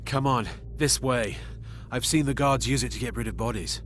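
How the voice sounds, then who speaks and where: A man's voice: mid-range voice, normal speed, a bit bright, slight background noise, natural voice. An actor playing a wary male thief held prisoner in a fantasy video game dungeon. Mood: wary, grateful.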